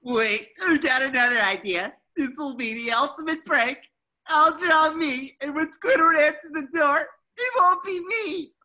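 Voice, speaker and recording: A woman voicing an excitable, naive young male cartoon sea sponge in an eager, theatrical way at a table read. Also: sits high, measured pace. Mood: scheming excitement.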